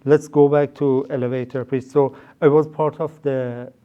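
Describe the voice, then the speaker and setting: A man's voice: medium pitch, little pitch movement, normal speed, smooth, narrow, tinny audio. A young man with a Persian accent, a podcast guest who explains things in a conversational way, with many fillers and restarts. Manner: hesitant, many fillers, rephrases mid-sentence. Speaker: guest, startup founder. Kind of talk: conversational interview.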